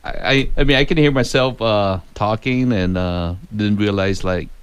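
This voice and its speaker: A friendly man talks as a first-time radio guest, hesitant and full of pauses and restarts. His voice is low and smooth, with a resonant clarity. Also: dry recording. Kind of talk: casual interview talk. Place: radio studio.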